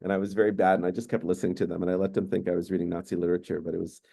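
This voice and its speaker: A man in his fifties, a native Hungarian speaker, moderating an online panel discussion over a video call and telling stories in a relaxed, measured way. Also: low-pitched, gravelly.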